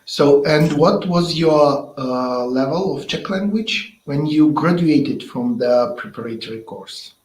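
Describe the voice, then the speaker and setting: Man interviewer, Russian native, slightly high, halting, echoey room. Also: slight background noise. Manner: halting, searching for words. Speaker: interviewer from the language institute. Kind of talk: casual interview.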